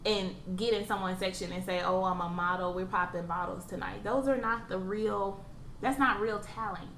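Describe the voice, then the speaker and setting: A young woman guest speaks bluntly and with strong opinions, swearing casually as she talks. Her voice has a mid-range pitch and is captured in an echoey room. Faint ambient noise sits underneath. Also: steady tone, normal speed, a bit bright. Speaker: podcast guest. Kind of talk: casual chat.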